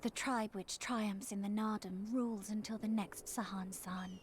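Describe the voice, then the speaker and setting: A woman voicing a gentle, wise young tribeswoman guide in a fantasy game, explaining things in a measured, solemn storytelling manner. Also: mid-range voice, fairly even intonation, a little hiss or hum, voice pushed up, close to an everyday voice. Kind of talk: fantasy game character dialogue read aloud.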